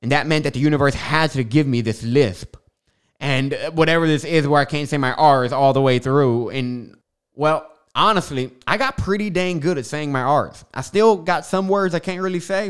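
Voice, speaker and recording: A man's voice: a little high, animated, normal speed, clear, resonant tone. A young man with a lisp, hosting a podcast and talking casually in a rambling monologue full of fillers and tangents. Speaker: podcast host. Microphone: studio microphone.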